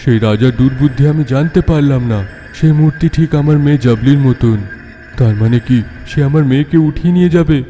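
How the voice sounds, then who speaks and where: A man acts out the ghost of a sculptor mourning his child in an animated horror tale. His tone is very dark and mellow, drenched in long reverb over music. He recounts his sorrow in measured, solemn phrases. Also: medium pitch, lightly acted. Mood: sorrowful. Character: ghost of a grieving sculptor father. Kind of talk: dramatic Bengali horror-tale narration.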